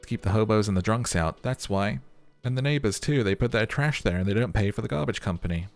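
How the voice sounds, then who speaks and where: A man's voice: fairly low pitch, animated, fast talker, gravelly, soft background music, pitched above the performer's own voice. A man voicing a male hostel manager who is curt, sarcastic and easily irritated. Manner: curt and mocking.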